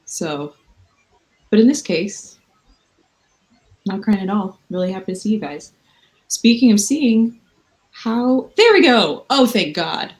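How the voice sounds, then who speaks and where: A woman's voice: mid-range voice, animated, takes it slowly, long pauses. A woman in her thirties hosting a casual late-night video chat with fans from a hotel room, rambling and self-mocking. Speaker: livestream host. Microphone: video call.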